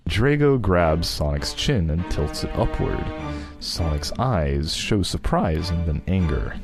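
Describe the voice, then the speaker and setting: A man's voice: deep voice, very animated, slow pace. A man acting as narrator, reading a fan fiction script aloud in a dramatic style. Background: background music.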